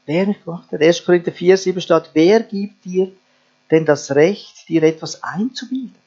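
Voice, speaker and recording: Man, pastor, high voice, earnest sermon with rhetorical questions, lo-fi recording with mains hum. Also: normal speed, dark tone. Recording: dull lo-fi sound with mains hum.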